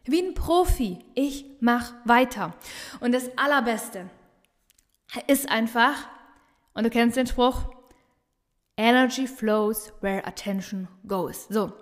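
A woman with a regional dialect talks with bright, emphatic energy in a fairly high voice, hammering home her points by repeating them. She hosts a motivational business podcast, and her voice rings on in a long reverb. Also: lively intonation, slow pace, long pauses. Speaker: business coach and podcast host. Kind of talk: motivational solo podcast talk.

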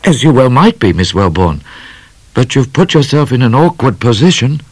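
A man voicing a bashful, gallant bushranger in a storybook, earnest and excitable in his speech. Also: mid-range voice, moderate pace, slightly rough, no echo, pitched above the performer's own voice. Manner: earnest, exclamatory.

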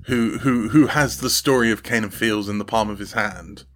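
A man's voice: fairly low pitch, moderate pace, fairly bright tone. Man thinking aloud in casual interview. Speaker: interview guest, audio drama creator.

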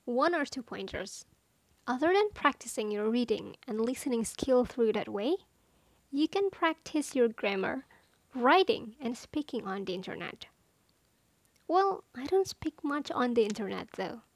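High-voiced woman, non-native English speaker. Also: sing-song, measured pace, no echo, rough recording. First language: not English. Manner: playful, self-deprecating, chatty with dramatic asides. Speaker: content creator giving language-learning advice. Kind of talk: casual monologue to listeners.

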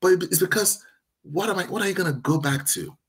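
A man's voice: sits high, a little hiss or hum. A man in his thirties from Nigeria, with a partly Americanized Nigerian accent, talking emphatically in casual conversation. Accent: Nigerian-inflected, partly Americanized. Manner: emphatic and animated, quick restarts.

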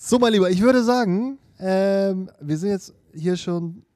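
A man's voice: sits high, measured pace, dark tone, dry recording, studio quality. A young man co-hosting a casual podcast, joking and playful, sometimes dropping English words. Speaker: podcast co-host.

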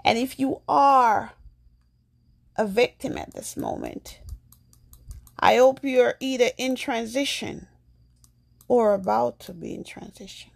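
A woman from Jamaica hosting her own podcast, talking in a soft, slow and earnest reflective monologue. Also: a little high, very animated, vocal fry. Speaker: podcast host.

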